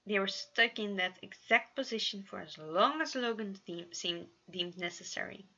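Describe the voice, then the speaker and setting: Bright-voiced woman reading a story aloud. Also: medium pitch, normal speed, dull audio. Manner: expressive, with occasional stumbles over words. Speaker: fan fiction narrator.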